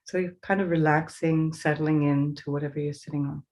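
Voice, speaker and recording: A woman leading a guided meditation as a teacher, giving slow, soothing instructions with pauses. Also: low voice, steady tone, darkish tone.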